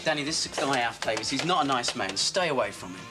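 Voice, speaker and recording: A man's voice: mid-range voice, lively intonation, quick pace, over music, lightly acted. A man playing a breezy, teasing radio DJ. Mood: warning. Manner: chatty, teasing on-air patter. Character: breezy male radio DJ, joking and worldly. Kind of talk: 1980 TV drama acting.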